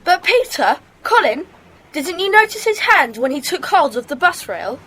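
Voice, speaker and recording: A woman's voice: high-pitched, very animated, normal speed, bright, forceful tone, full character voice, poor audio. A woman playing a keen, observant, eager schoolboy in a children's detective audio drama.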